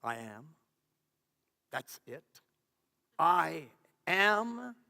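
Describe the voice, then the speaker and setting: A man voicing a calm, comforting divine spirit, faint and solemn, in a spoken spiritual story. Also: exaggerated voice. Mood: hushed, soothing. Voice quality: hushed, low, faint. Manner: slow, solemn, measured. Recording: echoey hall with long reverb.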